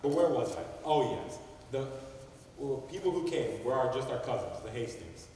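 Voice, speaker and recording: A man's voice: mid-range voice, lively intonation, moderate pace, warm tone, a little hiss or hum, natural voice. An actor playing the ghost of a wronged young man who rambles and loses his place as he narrates a stage play. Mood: brooding. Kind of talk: amateur stage acting. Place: stage in a large hall. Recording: echoey hall from far off.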